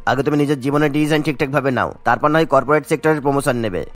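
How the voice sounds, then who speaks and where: A man acting a fast, pompous office boss. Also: mid-range voice, a bit bright, faint music behind, natural voice. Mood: indignant, scornful. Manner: haughty, lecturing, English business words mixed in. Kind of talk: cartoon character acting.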